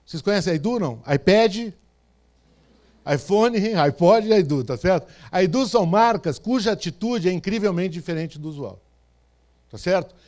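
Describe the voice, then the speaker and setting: Brazilian man from interior São Paulo giving an easygoing talk, voice sitting a bit high, keeps checking in with the crowd. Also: lively intonation, moderate pace, a little mellow. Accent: Brazilian Portuguese, interior São Paulo state. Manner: conversational, with frequent "tá certo?" and "né?" check-ins. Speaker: speaker. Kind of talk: stage talk to an audience. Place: event stage before a live audience.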